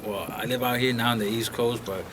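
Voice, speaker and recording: A man's voice: mid-range voice, steady tone, brisk. A young man from Colombia talks bluntly in a casual studio interview, his voice sitting in a middle register. He swears freely and leans on filler phrases like a streetwise talker. A faint hiss sits under the recording. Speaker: interview guest.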